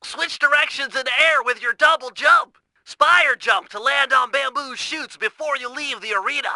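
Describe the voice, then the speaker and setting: An extremely high, creaky young turtle brainiac from a cartoon game. He is nasal and precise, an earnest planner who explains everything in wordy technical terms. The sound is very sharp and forceful. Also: moderate pace, no echo, full character voice. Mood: matter-of-fact briefing. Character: nerdy, brainy young male turtle tech genius, earnest, excitable. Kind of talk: cartoon video game voice lines.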